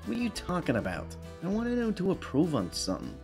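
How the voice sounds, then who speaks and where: A man's voice: sits high, huge pitch swings, quick pace, faint music behind, exaggerated voice. A man voicing a boastful teenage boy who plays superhero in a comic dub cartoon, pompous and bragging. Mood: defiant.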